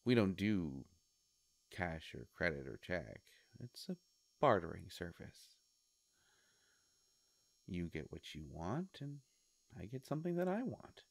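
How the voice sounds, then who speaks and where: A man playing a sly devilish shopkeeper in a roleplay audio, coaxing and musing with hesitant pauses. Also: sits low, very animated, creaky, no room sound, full character voice. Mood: wry, coaxing. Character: sly devilish male shopkeeper of a wish-granting store. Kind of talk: scripted roleplay audio monologue.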